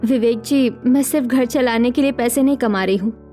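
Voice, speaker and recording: A woman's voice: a little high, normal speed, warm tone, soft background music, natural voice. A woman voicing a caring, hardworking young daughter-in-law in a village story, earnest and reassuring. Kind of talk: scripted story dialogue.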